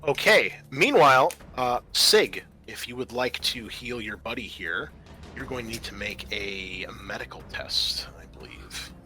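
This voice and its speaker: A man's voice: mid-range voice, animated, a little slow, pushed and bright, soft background music. A man acting as game master, narrating and explaining the rules of a tabletop horror roleplaying game in a conversational way.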